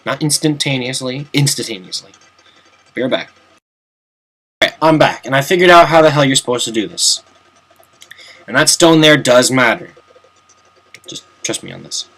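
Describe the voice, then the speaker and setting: A man's voice: medium pitch, animated, normal speed, pauses often, fairly bright tone, low-quality recording. Chatty young man narrating gameplay. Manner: chatty, self-mocking, jokey asides. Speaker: let's play commentator. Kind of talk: casual gameplay commentary.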